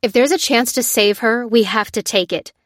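A woman voicing a bold woman in an audiobook, giving orders with urgent, forceful defiance. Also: fairly high pitch, a little slow, no room sound, studio quality. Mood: defiant, insistent.

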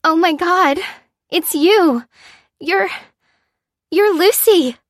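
An agitated young woman of twenty, played by a woman narrator in an audiobook. Her voice is raised high and sounds urgent and emotional, recorded close and dry. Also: expressive, a little slow, no room sound. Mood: stunned, emotional. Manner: urgent, pleading, exclaiming. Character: frightened, astonished young woman of twenty, a stranger.

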